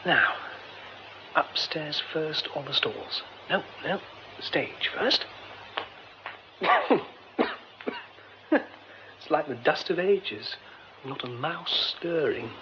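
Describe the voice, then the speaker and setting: Expressive young theatre man. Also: fairly high pitch, drawn-out words, pauses often. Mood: musing. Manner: muttering to himself, wry, later frantic stammering. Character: young male theatre man exploring a derelict theatre. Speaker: lead character. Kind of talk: radio drama acting. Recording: dull old radio recording with hiss.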